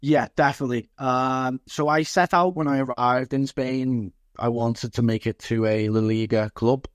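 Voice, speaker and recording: A man's voice: on the low side, no echo, studio quality. A man from Liverpool speaking as an interview guest and football coach, reflective and earnest, repeating phrases for emphasis. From Liverpool, England.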